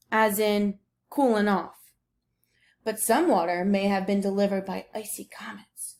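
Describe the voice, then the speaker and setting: A woman's voice: mid-range voice, a little mellow, close to an everyday voice. A woman voicing the ocean as a laid-back, boastful surfer dude in a picture book read aloud. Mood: relaxed storytelling. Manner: slangy, breezy surfer talk.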